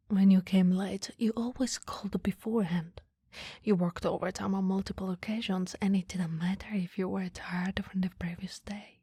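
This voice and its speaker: Breathy, whispery flirty female boss, acted by a woman. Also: medium pitch, dry recording, clean studio sound. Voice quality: breathy, soft. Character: caring, flirty, easily flustered female boss. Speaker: ASMR roleplay performer. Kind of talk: ASMR roleplay, soft-spoken and often whispered. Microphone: close microphone, dry.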